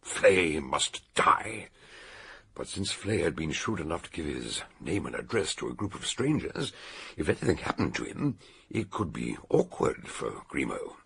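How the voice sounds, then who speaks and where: An actor playing an eccentric older British detective in a radio drama, theatrically and deliberately laying out a murder solution in a gravelly, husky voice. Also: male voice, very deep voice, sing-song, fairly bright tone, exaggerated voice. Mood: assured, expository.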